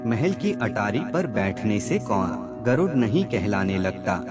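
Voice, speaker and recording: A man reading scripted moral aphorisms as a narrator, in a measured, formal recitation. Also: on the low side, big pitch swings. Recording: music bed under the voice. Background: background music.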